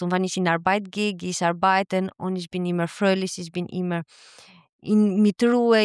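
A woman's voice: mid-range voice, clear, resonant tone, no room sound, studio quality. A woman from Albania, a midwife whose first language is Albanian, answering interview questions cheerfully in German with many restarts and laughs. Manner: cheerful, laughs often, non-native German with frequent restarts.

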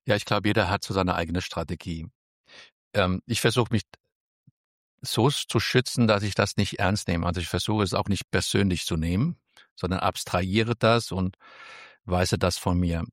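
Male guest with Spanish roots; low, measured, cautious delivery, dry studio sound. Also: steady tone, no echo. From Spain. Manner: careful, measured, with frequent restarts. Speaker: interview guest. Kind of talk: thoughtful interview answers.

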